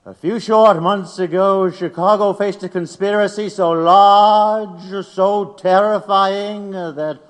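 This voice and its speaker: An actor playing an ominous, insistent prosecutor in a historical trial drama, formal and portentous. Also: male voice, high voice, steady tone, slow pace, few pauses. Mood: grave, ominous. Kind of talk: historical courtroom audio drama acting. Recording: long hall reverb.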